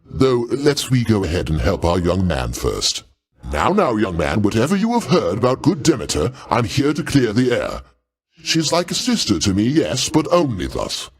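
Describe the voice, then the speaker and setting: A male actor playing a pompous, jovial older king of the gods in a video game, grandiose and avuncular with genteel, old-fashioned phrasing. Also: medium pitch. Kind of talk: theatrical video game character acting.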